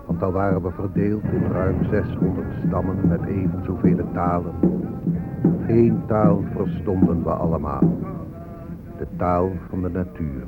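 An actor playing a grave Native elder who speaks deliberately, like a solemn refrain, in a poetic radio play. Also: male voice, low voice, fairly even intonation. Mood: grave, wounded pride. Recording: narrow phone-like audio with music behind.

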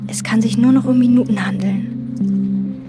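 An actress playing a quiet, obsessive hotel chambermaid who speaks in slow, introspective radio-drama monologue. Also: female voice, fairly high pitch, steady tone, loud music behind the voice. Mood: brooding. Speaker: narrator and lead character.

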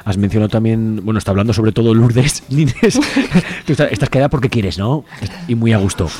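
A man's voice: on the low side, a little fast, warm tone. A man hosting a conversational radio interview, informal in tone and often ending phrases with a questioning tag. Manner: informal, frequent question tags.

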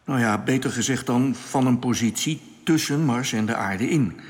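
A bewildered everyman space traveller acted by a man in a radio play. He talks informally and haltingly in a mid-pitched voice, uneasy and questioning, with long reverb around him. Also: lightly acted. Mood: curious, doubtful. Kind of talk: radio drama acting.

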